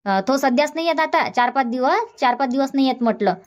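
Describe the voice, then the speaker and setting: A woman's voice: high voice, expressive, a little fast. A woman voicing a middle-aged village aunt with a Vidarbha Marathi accent, who lectures and scolds in long run-on stretches. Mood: firm.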